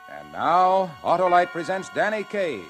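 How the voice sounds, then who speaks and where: High-pitched vintage male radio announcer. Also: huge pitch swings, a little slow, soft background music. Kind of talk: 1940s sponsor announcing. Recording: vintage 1940s radio recording.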